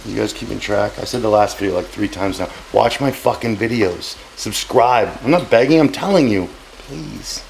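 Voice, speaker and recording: A man's voice: fairly low pitch, moderate pace, vocal fry, darkish tone, a little hiss or hum, low-quality recording. A man in his forties hosting a cooking vlog in his home kitchen, brash, joking and profane. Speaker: cooking show host. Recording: sizzling frying pan behind.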